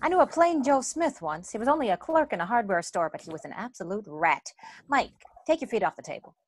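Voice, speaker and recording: A woman's voice: mid-range voice, very animated, a little fast, slight background noise, natural voice. A woman playing a dry, wisecracking magazine photographer in radio comedy style, wry and arch, on a home recording. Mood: wry, teasing. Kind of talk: 1940s radio comedy acting.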